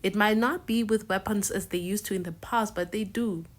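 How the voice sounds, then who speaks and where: A woman's voice: medium pitch, steady tone, moderate pace. Emphatic South African woman commentating. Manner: emphatic, firm, repeats points for stress. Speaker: reaction video host. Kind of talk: casual commentary to camera.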